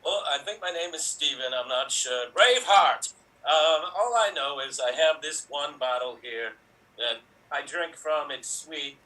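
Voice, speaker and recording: A man delivers an absurd comic improv impression in an offhand, deadpan way. His voice is bright and sharp, and it sounds distant from the microphone. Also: a little high, measured pace. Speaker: improv performer.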